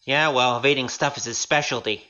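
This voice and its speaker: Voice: medium pitch, fairly quick, bright, forceful tone, highs cut off, natural voice. An actor playing a cheeky young male rogue in an audio drama, with a wry, glib manner. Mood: wry, sly.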